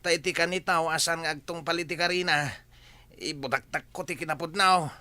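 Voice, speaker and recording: A man's voice: fairly high pitch, steady tone, fairly quick, sharp tone, no room sound. An actor playing a nervous, pleading male henchman who stammers and hesitates, with an Ilocano accent, in a radio drama. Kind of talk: Filipino radio drama acting.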